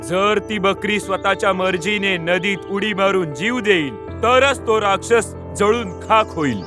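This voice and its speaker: A man voicing a grave forest sorcerer in an animated fable, with a solemn, authoritative manner. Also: sits high, measured pace, over music. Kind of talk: dubbed animated moral fable.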